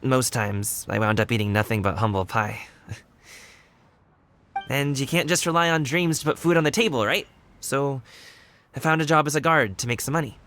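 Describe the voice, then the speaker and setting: Man as a youthful male performer, mid-pitched voice, friendly, earnest, casual, anime game character. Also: moderate pace, pauses often, a bit bright, lightly acted. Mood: wistful, candid. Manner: breezy, casual, sincere. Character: earnest, friendly young male wushu dancer.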